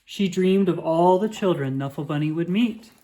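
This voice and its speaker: A woman reading a children's picture book aloud with expressive, drawn-out emphasis. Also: fairly low pitch. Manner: animated, dramatic emphasis with drawn-out words.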